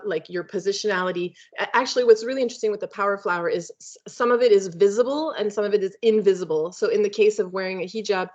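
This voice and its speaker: A woman speaks slowly and reflectively, pausing often with fillers as she explains. Her voice sits a little low. The sound is dull and lo-fi, as over a video call. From Canada. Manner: thoughtful, hesitant, many fillers. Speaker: webinar presenter. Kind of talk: academic webinar talk. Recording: muffled lo-fi video call audio.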